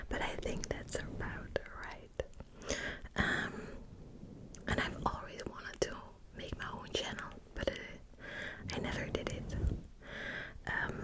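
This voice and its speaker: Whispering Belgian Dutch woman, slow ASMR. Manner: soft unvoiced whisper, hesitant with many fillers. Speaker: ASMR channel host. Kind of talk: whispered ASMR book talk.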